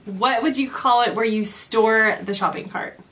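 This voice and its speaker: A woman's voice: on the high side, normal speed, fairly bright tone, a little hiss or hum. Giggly Californian woman asking questions. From Southern California. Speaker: host. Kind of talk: casual chat.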